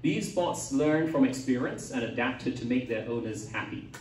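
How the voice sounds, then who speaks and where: A man's voice: mid-range voice, lively intonation, normal speed, recorded from a distance, a little hiss or hum. A man in his forties from Taiwan, a pastor giving a talk to students, hesitant with many fillers, chatty like a storyteller. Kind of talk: lecture to students. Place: university lecture hall. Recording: roomy hall, voice from off mic.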